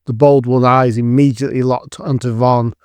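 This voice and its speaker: A male narrator reads a scripted dramatic story. His voice is smooth and clear, of medium pitch, in a very dry recording. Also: flat delivery, moderate pace, no echo.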